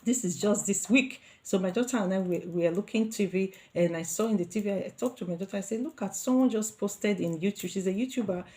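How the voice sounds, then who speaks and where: A woman's voice: mid-range voice, fast, few pauses, mellow. A middle-aged Nigerian woman giving an earnest motivational talk to her viewers, repeating herself with many fillers and restarts. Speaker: vlogger.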